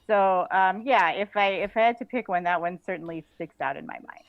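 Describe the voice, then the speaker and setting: A woman's voice: medium pitch, a little fast, vocal fry. A woman chatting casually as an interview guest, phrasing her thoughts hesitantly with small chuckles. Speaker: podcast guest, author. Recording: thin phone-like call audio.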